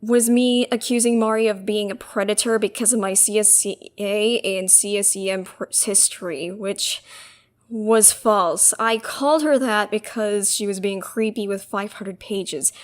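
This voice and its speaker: A woman giving an explanatory apology statement as an online video creator. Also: medium pitch.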